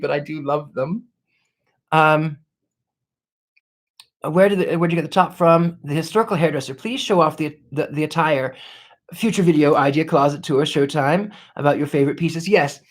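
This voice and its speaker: Genteel man reading live chat aloud. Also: fairly high pitch. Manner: genteel and flowery, calls viewers darling; halting, many restarts and fillers. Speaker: psychic answering viewer questions. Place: seated at a wobbly table indoors.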